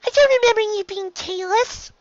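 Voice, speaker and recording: A woman voicing a young pony filly, an innocently blunt little sister who asks puzzled questions in a squeaky voice. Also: normal speed, no room sound. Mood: surprised. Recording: slightly dull, lo-fi recording.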